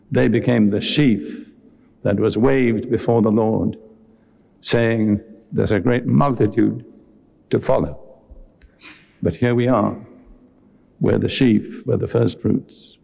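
A man preaching a sermon to a congregation, speaking earnestly and deliberately and addressing his listeners directly. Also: fairly low pitch, steady tone, gravelly, dark tone. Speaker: visiting preacher. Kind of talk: sermon with Bible teaching and altar call. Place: live before a congregation at a meeting.

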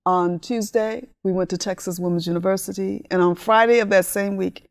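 A woman in her sixties from Honduras, with Spanish as her first language, telling her life story as an interview guest with animated enthusiasm. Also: mid-range voice, brisk. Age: 60s. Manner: warm, animated storytelling with laughs. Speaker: guest, ministry leader. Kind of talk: interview talk.

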